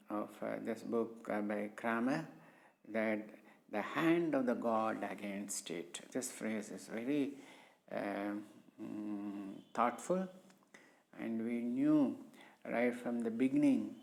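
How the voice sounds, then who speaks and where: Man, middle-aged, Indian English accent, native Tamil speaker, unhurried halting delivery, frequent ums and uhs, mid-pitched. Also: vocal fry. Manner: halting, many pauses and fillers. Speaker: civil engineering professor presenting his research. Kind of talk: slow, hesitant screen-recorded talk.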